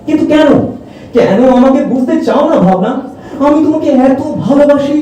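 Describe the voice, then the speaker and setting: A man's voice: very high-pitched, animated, normal speed, dark tone. A man acting an earnest, loving and pained friend in an emotional amateur audio play, reproachful and impassioned. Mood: pleading, hurt. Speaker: amateur stage audio-drama actor. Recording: echoey hall, distant mic, background noise.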